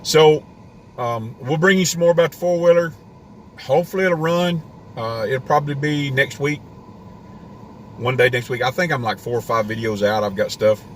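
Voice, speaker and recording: A man's voice: medium pitch, normal speed, slight background noise. Man ranting on a live stream. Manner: ranting, rambling with restarts. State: voice getting worn. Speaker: homesteading live stream host. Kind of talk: casual live stream rant. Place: inside a parked car.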